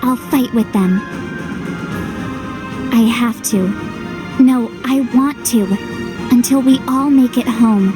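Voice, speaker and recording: An actress playing an earnest, determined young heroine in a video game cutscene, speaking emphatically over music. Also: female voice, on the high side, slow, long pauses. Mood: reflective. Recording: loud music behind.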